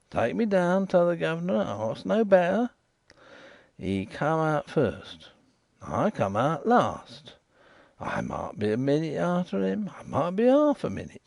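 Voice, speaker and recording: A man's voice: on the high side, vocal fry, darkish tone, no echo, studio quality, voice pushed up, heavily acted. A man voicing a shifty, indignant riverside informer, insistent and repetitive.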